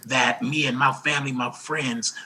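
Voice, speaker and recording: A raspy-voiced man from Los Angeles, speaking as an interview guest in an earnest, grateful way, often restarting his sentences. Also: medium pitch, fairly even intonation, moderate pace, background noise. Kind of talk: casual interview talk.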